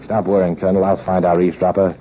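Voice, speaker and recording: An actor playing a cynical, money-hungry American gunrunner in 1940s radio drama, wry and wisecracking, with hard-boiled narration. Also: male voice, low-pitched, little pitch movement, fast, close to an everyday voice. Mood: dry, determined. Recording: muffled, noisy 1940s radio recording.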